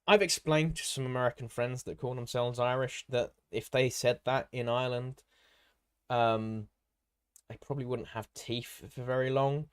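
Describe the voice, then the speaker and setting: Male live stream host, medium pitch, informal and rambling, jumping from one viewer message to the next. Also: steady tone, moderate pace, smooth. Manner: rambling, hopping between comments, informal and matey. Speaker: livestream host and content creator. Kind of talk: casual live stream chat, answering viewer comments.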